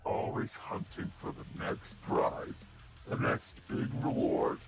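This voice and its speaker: A man voicing a taunting monster villain for a game character reel, with a breathy, whispery rasp and a mocking, theatrical delivery. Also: very noisy, lightly acted. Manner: slow, deliberate, mocking, theatrical. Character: sinister taunting male monster villain, menacing, patient and mocking. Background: prominent music.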